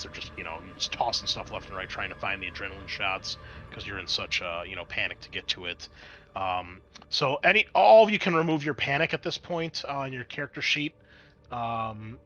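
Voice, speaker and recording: A man's voice: mid-range voice, sharp tone, slight background noise. A man working as a game master, narrating a tabletop role-playing game in a conversational, improvised way.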